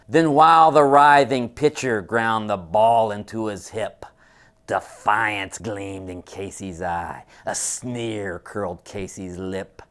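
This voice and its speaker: A man giving a dramatic poetry reading in a theatrical, deliberate way, swinging between hushed tones and high, loud exclamations. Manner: drawn-out, deliberate emphasis. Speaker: sports columnist reciting a poem.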